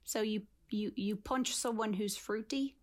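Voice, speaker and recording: Woman doing a deadpan Russian lady guest with a put-on accent, slow and dry, mid-pitched. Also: close to an everyday voice. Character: deadpan female Russian guest with dark humor. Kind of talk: improvised comedy sketch.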